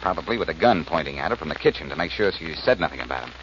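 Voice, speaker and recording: Casting: commanding, witty American sleuth, very low voice with swooping delivery, vintage radio mystery. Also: male voice, big pitch swings, a bit bright, heavily acted. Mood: confident, explaining. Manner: brisk, assertive, wisecracking. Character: quick-witted, commanding wisecracking amateur detective. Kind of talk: 1940s radio acting. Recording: muffled, noisy old radio recording.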